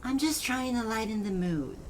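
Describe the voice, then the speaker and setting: A woman's voice: on the high side, lively intonation, moderate pace, slight background noise, lightly acted. A woman playing a wisecracking, nervous male trainee scholar in an audio drama, in a natural, hesitant manner. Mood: wry.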